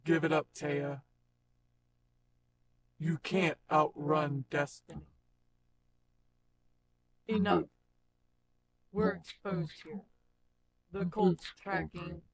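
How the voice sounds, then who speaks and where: A performer voicing a grim, commanding cyborg with terse dramatic lines and a pitch-shifted robotic effect. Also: fairly even intonation, extremely slow, vocal fry, pauses often, a little hiss or hum, poor audio. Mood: stern, urgent. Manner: terse, dramatic line delivery. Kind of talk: scripted character voice-over demo.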